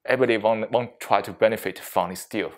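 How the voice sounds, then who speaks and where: A man leading a reflective mindfulness talk as a meditation teacher, speaking deliberately in short phrases with frequent pauses and non-native English phrasing. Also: fairly low pitch. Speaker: meditation teacher giving a workplace session.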